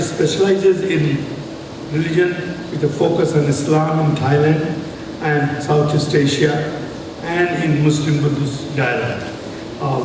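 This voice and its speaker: Male session moderator, slightly high voice, halting formal read, very reverberant hall. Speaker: session moderator introducing a speaker. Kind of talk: formal introduction, read from notes. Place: large conference hall. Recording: very echoey hall, distant mic, clear noise.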